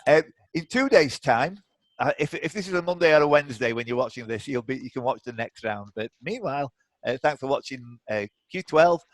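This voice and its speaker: A man runs a live quiz over a video call. He talks off the cuff with teasing banter, at a middling pitch. The sound is dry, with no room around it. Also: animated, a little fast, dry recording. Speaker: quiz host. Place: indoors, online video call.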